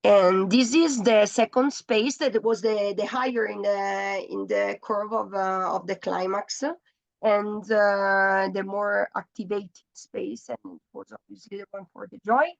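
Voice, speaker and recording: A woman's voice: medium pitch, little pitch movement, slow, gravelly, no room sound, muffled recording. A woman with an Italian accent presents her architecture thesis on a video call, hesitating often. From Italy. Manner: hesitant, many fillers and restarts. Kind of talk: online thesis presentation, halting.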